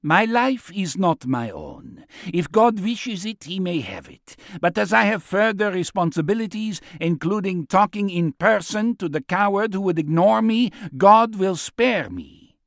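A serene old holy man, played by a male narrator, frail yet defiant. He speaks slowly and solemnly, with pitch lifted above an ordinary man's, in a dry audiobook recording. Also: expressive, no echo. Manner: slow, solemn, unshaken.

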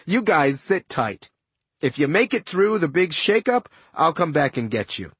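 A man's voice: a little high, very animated, measured pace, breathy edge, dry recording, exaggerated voice. A man voicing a smug, scheming ghost villain in an audiobook, with breezy, taunting, slangy talk. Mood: mocking.

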